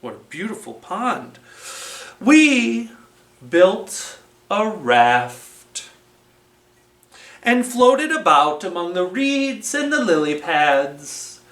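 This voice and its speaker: A children's library storytime presenter reading a story aloud in a deliberate, expressive way, recorded in a roomy space at some distance from the microphone. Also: mid-range voice. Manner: slow, deliberate, expressive read-aloud delivery. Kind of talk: animated storytelling with felt board.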